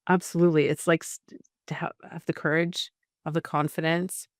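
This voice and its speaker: Middle-aged woman host with a gravelly, creaky edge and a dry, close sound; conversational and encouraging. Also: low-pitched, level tone, brisk, no echo. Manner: conversational, encouraging, with frequent fillers and restarts. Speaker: podcast host. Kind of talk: casual interview chat.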